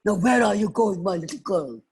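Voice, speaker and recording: A woman voicing a lonely, downcast bear in a children's tale, gruff but soft-hearted. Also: mid-range voice, expressive, fairly quick, pitched above the performer's own voice. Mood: touched, grateful.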